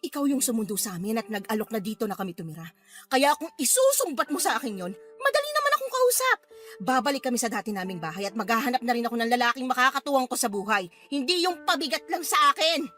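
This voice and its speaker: A high-pitched, feisty Filipino mother in a radio drama. She scolds combatively, her voice swinging widely, with faint music behind her. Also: very animated, fast talker, a bit bright. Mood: stern, defiant. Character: feisty, fed-up scavenger mother berating her drunkard partner.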